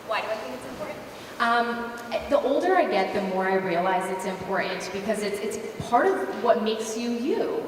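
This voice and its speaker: A woman's voice: fairly high pitch, animated, normal speed, distant mic. Earnest woman panelist in echoey hall. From United States. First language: Polish. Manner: earnest, many fillers, rising to emphatic. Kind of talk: panel discussion answer. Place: large conference hall. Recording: echoey hall, mic from afar, noisy.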